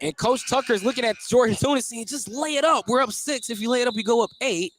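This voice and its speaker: A man's voice: very high-pitched, quick pace, a bit bright, slight background noise. An American man doing live play-by-play basketball commentary on the radio.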